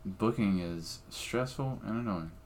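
A man's voice: fairly low pitch, measured pace, darkish tone, slight background noise. A young man, a comedian co-hosting a podcast, ranting casually with frequent profanity and restarts. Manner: rambling, profane, restarts often.

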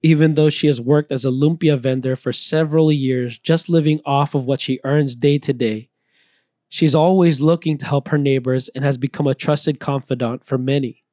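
A man reading a scripted, reflective personal talk in an earnest, measured way. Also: medium pitch, fairly even intonation, mellow, dry recording, studio quality. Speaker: missionary speaker.

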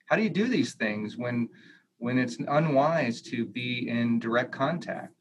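A man talks thoughtfully as a pastor on a video call, measured and hesitant. Clear hiss and background noise sit under his voice the whole time. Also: mid-range voice, expressive. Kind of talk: online panel discussion. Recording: noisy video call audio.

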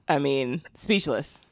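A man co-hosting a casual chat, talking slowly with a drawl. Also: on the high side, no room sound. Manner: slow, drawling. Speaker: podcast co-host.

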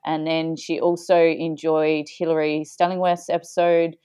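A woman with a low, smooth, resonant voice co-hosts a podcast in a relaxed, chatty way, laughing easily. The sound is close and dry. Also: a little slow, no room sound. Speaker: podcast co-host. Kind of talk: casual podcast chat.

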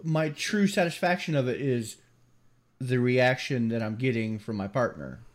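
A man's voice: mid-range voice, animated, moderate pace. Southern man joking on a podcast. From American South. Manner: joking, laughs while talking. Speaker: podcast co-host. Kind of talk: casual chat.

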